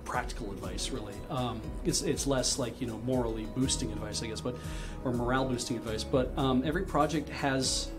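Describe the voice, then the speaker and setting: A man's voice: medium pitch, fairly quick. A man hosting a livestream, giving casual advice and often searching for his words. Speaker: charity livestream host reading viewer messages. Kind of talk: casual, unscripted advice chat. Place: indoor livestream studio. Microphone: handheld-style microphone close at desk. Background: music in the background.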